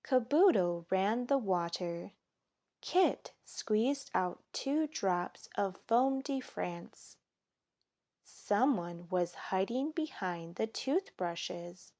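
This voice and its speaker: A woman reading a children's story aloud in an expressive, measured storytelling manner. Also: medium pitch. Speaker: storybook reader. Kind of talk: children's story read aloud, scripted narration.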